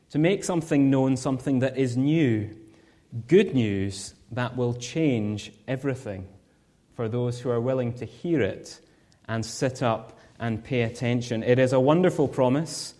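A married Scottish man giving a sermon as a guest preacher, earnest and measured, with rhetorical questions. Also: mid-range voice, a little mellow. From Scotland.

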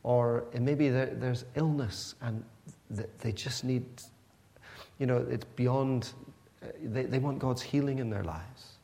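A man's voice: medium pitch, unhurried, creaky, mellow, long reverb tail. A man born in Pakistan to missionary parents, preaching in an earnest, conversational way with frequent restarts. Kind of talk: sermon.

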